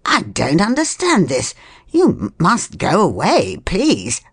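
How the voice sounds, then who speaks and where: Woman reading as a low-voiced, brusque gentleman. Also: expressive, moderate pace, dry recording, full character voice. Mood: indignant. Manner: brusque, courteous, matter-of-fact. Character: indignant but sensible gentleman journalist, hard-worked. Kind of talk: storybook character voice.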